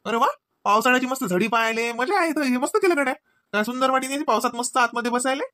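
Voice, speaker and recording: A woman's voice: high voice, fast talker, pushed and bright. A woman voicing an easygoing young husband in a Marathi comedy cartoon, with a casual, exclamatory Varhadi accent. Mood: pleasantly surprised. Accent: Varhadi Marathi from Vidarbha. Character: easygoing husband and brother-in-law arriving home.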